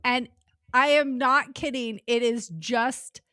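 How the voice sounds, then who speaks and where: A woman's voice: on the high side, fairly even intonation, slow pace, sharp tone, clear, resonant tone, dry recording, studio quality. A woman co-hosting a podcast, chatting casually in a matter-of-fact way. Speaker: podcast co-host. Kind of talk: casual chat.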